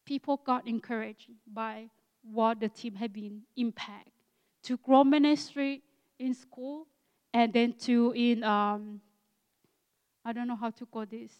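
A woman in her sixties with an Akha Thai accent gives a church talk in English that is not her first language, pausing to find words. Her voice sits a little high and comes through smooth and clear. A long reverb trails after her in the hall. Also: steady tone, muffled recording. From Thailand. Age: 60s. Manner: halting, searching for words, frequent fillers. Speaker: guest missionary speaker.

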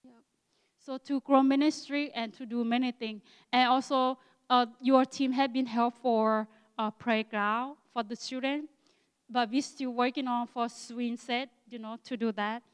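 A woman in her sixties from Thailand with an Akha Thai accent, speaking to a church in halting English and often searching for words. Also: fairly high pitch, steady tone, clean tone, in a large hall. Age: 60s. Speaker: guest missionary speaker. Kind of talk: church talk with thanks.

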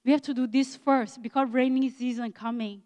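A woman in her sixties from Thailand with an Akha Thai accent, speaking to a church in halting English and often searching for words. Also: sits high, fairly even intonation, warm tone, long reverb tail. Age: 60s. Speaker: guest missionary speaker. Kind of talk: church talk with thanks.

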